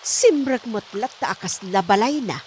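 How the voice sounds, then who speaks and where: Woman acting a high, animated, jealous scolding wife. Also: normal speed, slight background noise, lightly acted. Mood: suspicious. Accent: Ilocano. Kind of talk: Ilocano comedy radio drama acting.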